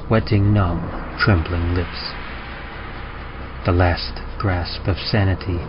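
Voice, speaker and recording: A man giving a dramatic scripted reading of horror, measured and deliberate, with pauses for effect. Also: low-pitched. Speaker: narrator of horror stories. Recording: muffled audio with clear background noise.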